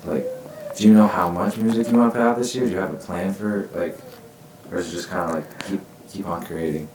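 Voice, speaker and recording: A man interviewing an artist in a relaxed way, his questions full of restarts and trailing words. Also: low voice, fairly quick. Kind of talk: casual interview. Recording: roomy with faint background noise.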